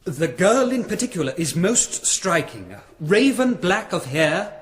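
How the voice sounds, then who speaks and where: A man's voice: high-pitched, big pitch swings, unhurried. A man playing an earnest, troubled monk envoy who speaks formally and anxiously in a radio drama.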